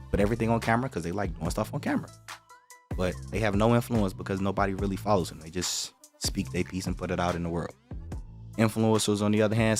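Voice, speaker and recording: A man with a very deep voice hosts a casual livestream, greeting viewers and laying out his views in a relaxed, direct, slangy way. Faint music plays softly behind him. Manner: relaxed, direct, slangy, explaining points at length. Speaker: livestream host.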